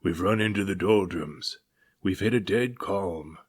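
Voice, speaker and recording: A man voicing a gruff, growling ship's captain in a storybook, folksy and resigned. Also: low-pitched, a little slow. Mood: dismayed.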